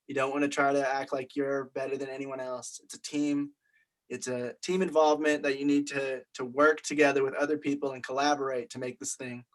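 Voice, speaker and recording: A man's voice: mid-range voice, flat delivery, brisk, low-quality recording. A man leading a group discussion, explaining things in an informal, conversational way. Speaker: group meeting leader.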